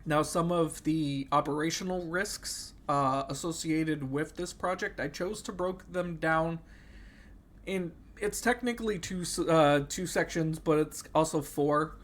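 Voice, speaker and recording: Young male student, under the weather and nasal, a touch higher pitched, hesitant delivery full of fillers. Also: measured pace, a bit bright. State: sick, slightly nasal. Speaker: student presenting an assignment. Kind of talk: casual class presentation.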